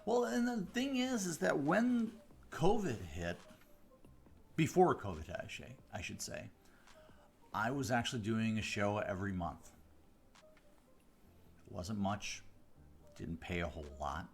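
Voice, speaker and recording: A man from the Chicago area, a mentalist hosting a live stream, chatting casually with viewers on a close studio mic, rambling with fillers and restarts but slowing down with deliberate pauses. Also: fairly low pitch, expressive, vocal fry. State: voice deeper than usual today. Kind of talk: casual chat answering viewer questions. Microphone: close studio microphone.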